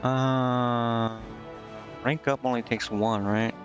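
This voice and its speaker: A man streaming a game, casually reading on-screen text aloud and thinking out loud in a halting, puzzled mutter. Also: mid-range voice, steady tone, lo-fi sound. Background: game music.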